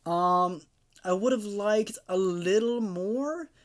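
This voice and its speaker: A man's voice: sits high, takes it slowly. A man hosting a channel, giving a casual spoken review in a hesitant, rambling way with many qualifications. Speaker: host of a story-reading channel.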